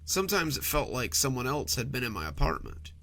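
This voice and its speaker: A man's voice: mid-range voice, very animated, a little fast, pushed and bright. A man reading a scripted first-person horror story in a conversational storytelling manner.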